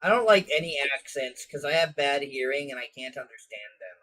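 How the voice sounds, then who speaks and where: A man's voice: medium pitch, expressive, fairly quick, pushed and bright, low-quality recording. A man with a Midwestern American accent hosting a casual late-night chat stream, blunt and joking. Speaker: streamer host.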